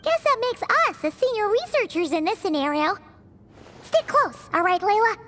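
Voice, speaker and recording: A tiny, bossy sidekick with a very high, bouncing voice that leaps all over the place. Her teasing, chatty lines ring out with a long, hall-like reverb in the game mix. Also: big pitch swings, moderate pace, a bit bright, slight background noise, full character voice. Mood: chirpy. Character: tiny floating female companion, chirpy and bossy.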